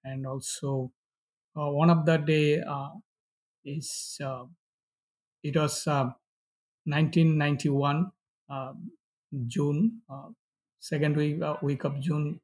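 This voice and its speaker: A middle-aged man from Bangladesh with a Bangladeshi accent and Bengali as first language, giving personal testimony haltingly in non-fluent English. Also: on the high side, little pitch movement, darkish tone, breathy edge, poor audio. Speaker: pastor, interview guest.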